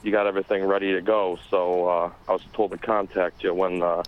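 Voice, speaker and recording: Smooth, shady male caller with a hesitant drawl on a lo-fi line, played by a man. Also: sits low, flat delivery, a little fast, close to an everyday voice. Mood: sly. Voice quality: drawling, with many filler sounds. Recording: dull lo-fi phone sound.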